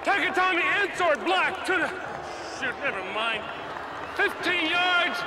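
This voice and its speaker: A man's voice: very high-pitched, animated, measured pace, over a noisy crowd, heavy background noise. An actor playing a comic male football referee, announcing penalties to a stadium in a film clip.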